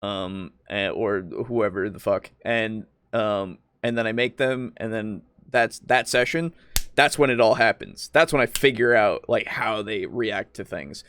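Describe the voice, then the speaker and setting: A man's voice: on the low side, unhurried, a bit bright, smooth, no echo. A man hosting a casual group discussion, talking informally with some loose swearing. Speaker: podcast host.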